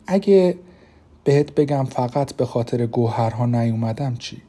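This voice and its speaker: A man voicing a scheming male demon prince who talks quietly and sarcastically, in an audiobook. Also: medium pitch, lively intonation, lightly acted. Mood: tender.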